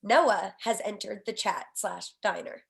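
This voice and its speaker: A woman's voice: medium pitch, measured pace, gravelly. A woman reading stage directions in scripted screenplay narration.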